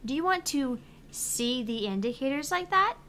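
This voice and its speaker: A woman's voice: sits high, animated, normal speed, sharp tone, slight background noise, voice pushed up, full character voice. A woman voicing a perky, reassuring female app assistant, reading game dialogue in a chirpy, encouraging, playful manner. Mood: helpful, playful. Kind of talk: reading visual novel game dialogue aloud.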